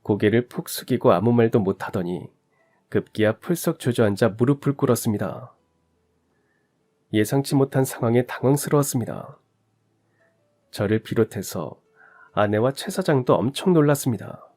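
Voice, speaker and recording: A man narrating a first-person family story in a calm, even storytelling manner. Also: mid-range voice, moderate pace, long pauses.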